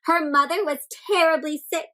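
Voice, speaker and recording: A woman reading a picture book aloud to children, interactive and expressive, stressing words and turning to young listeners with simple questions and explanations. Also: high voice, moderate pace. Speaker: children's read-aloud host.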